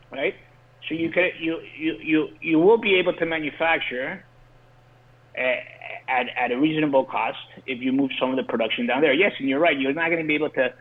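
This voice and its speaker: A man who grew up in Colombia, speaking as a guest industry insider, earnest and prone to restarting his words. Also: fairly high pitch. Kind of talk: explaining in an interview. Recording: phone-line call-in.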